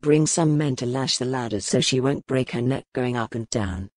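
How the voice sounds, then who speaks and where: Stern lordly duke guy, low and firm, dry close sound, a woman putting him on. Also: moderate pace, darkish tone, no echo. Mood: commanding. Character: stern commanding male duke, hard master. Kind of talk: audiobook character voice.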